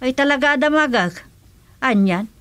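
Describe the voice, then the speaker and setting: Radio comedy role: inquisitive, grumbling Ilocano housewife, fairly high female voice. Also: lively intonation, normal speed, a bit bright, clear, resonant tone, no room sound. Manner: questioning, complaining. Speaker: actress in a radio comedy serial. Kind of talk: Filipino radio comedy drama acting.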